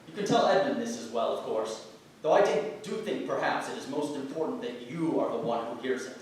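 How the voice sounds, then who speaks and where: An actor playing a stern, self-righteous Puritan man in a staged play reading, formal, deliberate and bitterly moralizing. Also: mid-range voice, close to an everyday voice. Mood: cold threat. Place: stage in a theatre. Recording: roomy far-miked theatre, faint noise.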